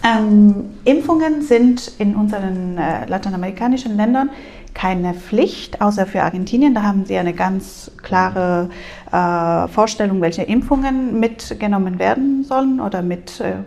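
Creaky-voiced woman. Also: medium pitch, moderate pace, a little mellow. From Spain. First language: Spanish. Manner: hesitant, many filler sounds, small grammar slips. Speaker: exchange program advisor. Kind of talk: interview answers. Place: language school room.

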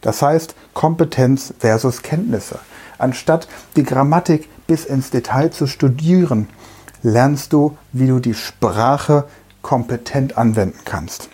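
A man's voice: mid-range voice, slow pace, warm tone, husky. A middle-aged man who is a language trainer, giving an explanatory talk and addressing the listener directly with everyday examples. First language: German. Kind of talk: explanatory lecture monologue.

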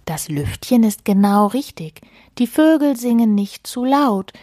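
Casting: woman as a sly, fussing male mole for a children's storybook, insistent and cautioning, raised pitch, close dry sound. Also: animated, unhurried, dark tone, dry recording. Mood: demanding, self-satisfied. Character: bossy, fretful, sly male mole friend, storybook animal.

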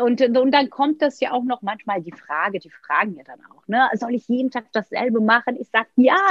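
Lively woman in her forties. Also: fairly high pitch, no room sound. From Turkey. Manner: lively storytelling, many fillers and restarts. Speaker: interview guest, coach. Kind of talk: casual interview talk. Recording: dull, dry sound.

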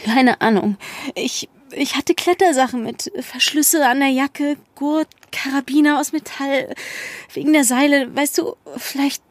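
A woman playing a distraught, guilt-ridden young undercover policewoman in an audio drama, halting and stammering with a breathy, unsteady voice. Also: sits high, no echo. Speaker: actor in a fiction podcast. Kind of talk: naturalistic German audio drama.

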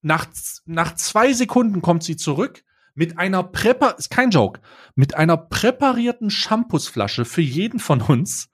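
A man's voice: sits high, measured pace, breathy edge. A young man co-hosting a podcast, telling a story in casual slang with lots of restarts. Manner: animated, slangy, frequent restarts. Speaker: podcast co-host.

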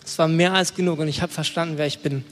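A man's voice: a little high, steady tone, quick pace. A young man giving an informal, colloquial sermon, often hesitating and groping for words. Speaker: preacher. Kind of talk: informal youth sermon with personal testimony. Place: large room, church service on stage. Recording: echoey hall, music behind. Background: music.

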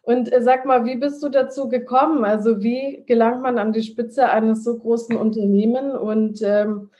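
A woman conducts an interview in a mellow, warm voice. Her questions are friendly and hesitant, with plenty of fillers between them. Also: on the high side, steady tone, normal speed.

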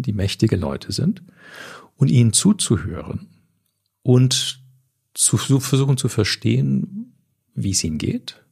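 Canadian man, German as second language, measured coach, gravelly, dry close sound. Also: fairly low pitch, darkish tone, no room sound. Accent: English-speaking Canadian. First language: English. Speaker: coach and podcast host. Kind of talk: scripted intro then interview answers.

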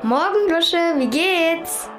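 A woman's voice: extremely high pitch, animated, takes it slowly, exaggerated voice. A young female performer playing a cheeky schoolgirl in an amateur children's radio play. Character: sleepy, cheeky schoolgirl who is easily bored. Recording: roomy sound under loud music.

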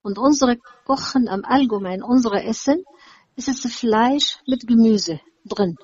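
A woman's voice: a little high, measured pace, a little mellow, husky, no echo, muffled recording. A woman in her seventies from Syria with a Syrian Arabic accent, talking hesitantly with many fillers as an interview guest. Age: 70s. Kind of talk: casual interview chat.

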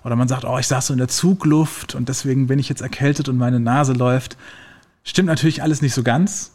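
A man's voice: medium pitch, a little fast, breathy edge. Chatty man explaining science casually. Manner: relaxed, many fillers, explains with everyday examples.

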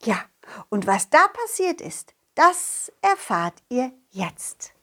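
Woman as a cheerful male dog, children's audio play, unhurried pace, lively intonation, friendly storyteller. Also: high voice, voice pushed down, full character voice. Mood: friendly, eager. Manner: simple, child-directed, addresses listeners directly. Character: Kollin, confident, loyal, cheerful male talking dog. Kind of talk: children's audio play, first-person narration.

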